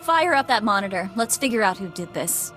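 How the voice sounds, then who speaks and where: A woman's voice: mid-range voice, big pitch swings, fairly quick, over loud music, close to an everyday voice. A woman voicing a determined, grieving bounty hunter's handler in a video game, earnest and urgent in manner.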